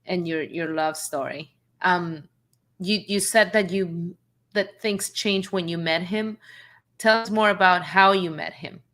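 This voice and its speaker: A woman hosts a live interview chat. Her voice is low-pitched but very bright and forceful. She affirms her guest warmly and laughs easily. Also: measured pace. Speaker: host.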